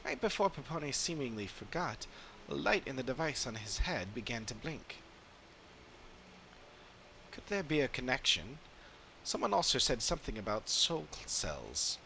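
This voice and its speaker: A man's voice: medium pitch, sing-song, normal speed, sharp tone, slight background noise, low-quality recording. Earnest, formal fantasy game adventurer, voiced by a man. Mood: earnest, puzzled. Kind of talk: dramatic read-aloud of game dialogue.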